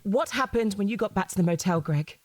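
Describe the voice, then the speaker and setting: A woman's voice: medium pitch, expressive, fairly quick, a little mellow, soft background music, lightly acted. An actress playing a suspicious, persistent wife questioning her husband in a naturalistic audio drama, in a controlled, sceptical, probing way.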